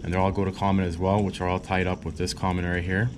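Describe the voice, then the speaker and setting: A man's voice: low voice, completely flat delivery, fast talker, background noise. A young man, an HVAC installer, casually walking through his work step by step in plain, informal talk. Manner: plain-spoken, informal, pointing things out step by step. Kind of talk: casual how-to walkthrough. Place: basement utility room.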